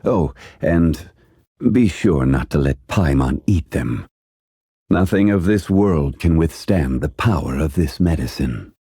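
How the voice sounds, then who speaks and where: A composed old-soul gentleman for a video game, scholarly and ancient. The voice is deep, slightly husky and slow, with formal, carefully weighed phrasing. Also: expressive, no room sound, studio quality, full character voice. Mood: composed, courteous. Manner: measured, formal, deliberate diction. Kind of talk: video game character voice lines.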